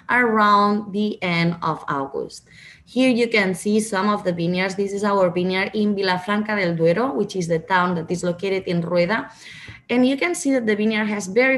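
A woman in her thirties from Catalonia with a Spanish accent, presenting a winery's wines over a video call in careful, explanatory English with small restarts. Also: medium pitch. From Catalonia, Spain. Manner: explanatory, restarts, non-native phrasing. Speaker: winery representative. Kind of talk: wine presentation to diners.

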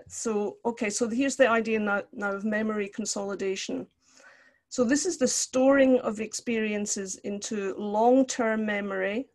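Older woman giving an online lecture. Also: medium pitch. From Scotland. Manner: conversational, explanatory. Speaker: counselling lecturer. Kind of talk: online lecture with personal examples. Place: indoor room, video call.

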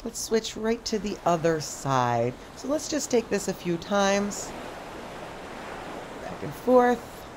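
A middle-aged woman teaching a stretching class outdoors on a sandy beach, calmly cueing each movement step by step. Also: medium pitch, slow pace. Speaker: stretch instructor. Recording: outdoor surf noise behind the voice. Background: ocean waves.

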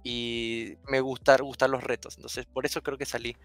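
A man's voice: medium pitch, little pitch movement, moderate pace, a bit bright, no room sound, soft background music. A young man from Venezuela whose Spanish carries an Argentine lilt, chatting as a guest on a headset with frequent restarts. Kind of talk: casual interview chat.

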